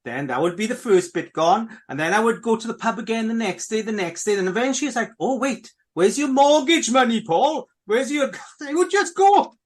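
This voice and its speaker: A man's voice: very high-pitched, expressive, normal speed, hardly any pauses. A middle-aged man hosting a radio podcast, chatting casually and repeatedly addressing his listeners. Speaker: podcast host.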